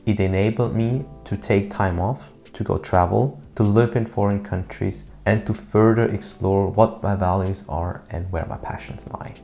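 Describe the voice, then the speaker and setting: Thirties guy from switzerland doing vlog voiceover, lowish gravelly voice, earnest with dry jokes. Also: steady tone, moderate pace, few pauses, mellow, faint music behind. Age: 30s. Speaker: YouTuber. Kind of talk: scripted personal vlog narration.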